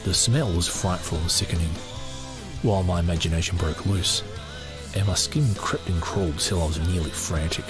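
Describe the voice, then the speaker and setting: Man, deep low voice, podcast book reader, off-the-cuff commentary, faint background music. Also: moderate pace.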